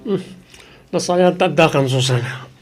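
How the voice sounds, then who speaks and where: A man's voice: fairly high pitch, measured pace, loud music behind the voice, close to an everyday voice. A man playing a lazy, whining, freeloading boyfriend in a Filipino audio drama, grumbling sluggishly.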